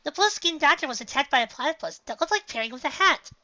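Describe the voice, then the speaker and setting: A woman's voice: high voice, expressive, fast, pushed and bright, pitched above the performer's own voice. A woman voicing an observant, matter-of-fact young girl in a cartoon story. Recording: dull lo-fi recording.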